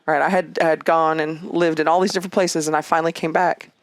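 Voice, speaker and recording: A woman's voice: low-pitched, little pitch movement, fast, no echo. Chatty woman with a dry, close sound. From Canada and Egypt. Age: 50s. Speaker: interview guest, horsewoman. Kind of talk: casual conversational storytelling.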